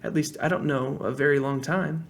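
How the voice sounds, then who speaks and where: Storybook young girl, sensible, higher and softer, read by a man. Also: steady tone, moderate pace, gravelly. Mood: dreamy.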